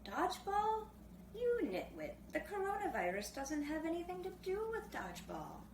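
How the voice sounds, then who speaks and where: A woman's voice: extremely high pitch, big pitch swings, normal speed, a little mellow, voice pushed up, heavily acted. A woman voicing a grumpy, exasperated older brother, irritated and emphatic, in a storybook reading. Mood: annoyed. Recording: roomy, distant mic, clearly noisy background.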